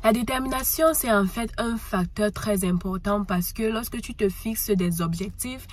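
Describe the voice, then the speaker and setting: A woman's voice: medium pitch, moderate pace. A woman with a cold giving an earnest motivational talk, speaking directly to the listener and repeating her points. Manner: earnest, repetitive, addresses listener as tu. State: has a cold.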